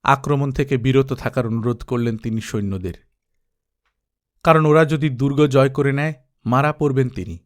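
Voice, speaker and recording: A man reading an adventure novel aloud as narrator, steady and dramatic. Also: medium pitch, moderate pace. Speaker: audiobook narrator. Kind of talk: storybook reading.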